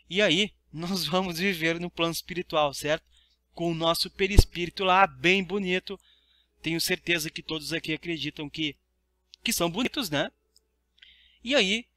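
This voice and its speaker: High-voiced Brazilian man teaching. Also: pushed and bright, no echo. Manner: didactic, checks understanding with tag questions. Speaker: study group teacher. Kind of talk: spiritist lesson, reading aloud and explaining.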